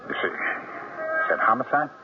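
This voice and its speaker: An actor playing a guilty, defensive novelist husband in a radio drama, speaking in a strained, halting, apologetic way. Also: male voice, close to an everyday voice. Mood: shaken. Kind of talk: 1970s radio drama acting. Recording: tinny, narrow old radio broadcast with faint hiss.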